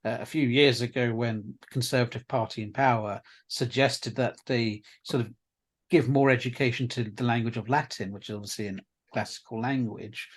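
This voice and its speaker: A man's voice: medium pitch, steady tone, normal speed. A man interviewing a guest in a casual conversation, reflective and hedging often. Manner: reflective, hedging with "sort of" and "I mean".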